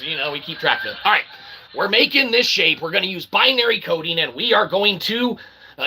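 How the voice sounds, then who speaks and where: A man with a gravelly, fairly high-pitched and very sharp voice delivers a loose, rambling stream lecture. He is brash and irreverent, pokes fun at himself, and keeps punctuating his points with little check-in words. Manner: brash, irreverent, sweary, self-deprecating; repeatedly tags phrases with "okay" and "all right". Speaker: independent streamer explaining ideas. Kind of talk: informal rambling explainer livestream.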